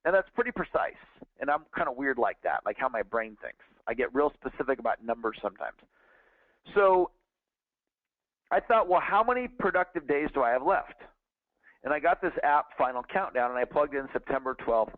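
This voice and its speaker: A man's voice: mid-range voice, lively intonation, normal speed, dry recording. Earnest man on a tinny phone line. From California. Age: 40s. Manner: earnest, conversational, repeats words and restarts. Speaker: sales trainer. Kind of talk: motivational teaching call.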